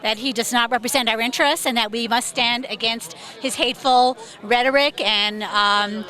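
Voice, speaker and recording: A woman protester outdoors stating her position forcefully in a list of points. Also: fairly high pitch, steady tone, normal speed. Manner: forceful, declarative.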